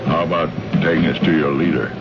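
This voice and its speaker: A blunt, dismissive male envoy from a space-age radio play, with a very deep voice. He sounds impatient and sardonic, through heavy noise on a dull old broadcast recording. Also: steady tone, fast. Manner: blunt, hesitant interjections, sardonic. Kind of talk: vintage radio drama acting.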